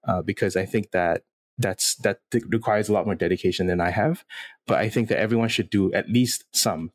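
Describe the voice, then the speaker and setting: A man in his thirties from Singapore, a podcast guest talking in a relaxed, reflective way with small laughs. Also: deep voice, no room sound. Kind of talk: casual interview conversation.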